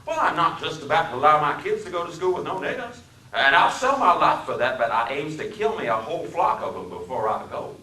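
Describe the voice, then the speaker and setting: A man voicing a hateful young hitchhiker with a Deep South drawl, boastful and quick to shout, in a dramatic reading. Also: very animated, roomy sound, far from the mic, a little hiss or hum, low-quality recording. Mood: zealous. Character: hateful young Southern male hitchhiker, bigoted and hot-tempered.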